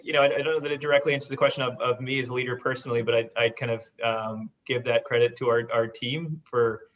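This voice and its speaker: A man's voice: medium pitch, a bit bright, lo-fi sound. A man, a company founder, speaking at length in a panel discussion, rambling with many repeated words.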